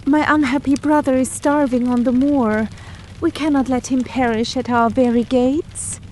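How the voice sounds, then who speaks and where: A woman's voice: sits high, steady tone, normal speed, a little mellow, slight background noise, voice pushed up, lightly acted. A woman doing a distraught housekeeper. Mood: anguished. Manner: anguished, imploring. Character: distraught middle-aged housekeeper wife.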